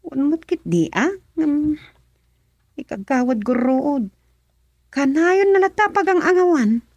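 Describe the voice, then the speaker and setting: A woman's voice: high-pitched, expressive, slow pace, a little mellow, no room sound, muffled recording. An actress with an Ilocano accent playing an inquisitive village councilman's wife in a radio comedy-drama.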